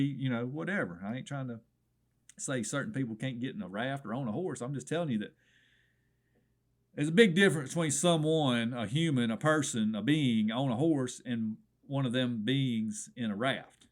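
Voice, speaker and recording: A middle-aged man with a Southern American accent, hoarse, hosting a comedic radio swap shop with folksy wisecracks. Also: medium pitch. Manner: folksy and wisecracking, keeps saying "brother".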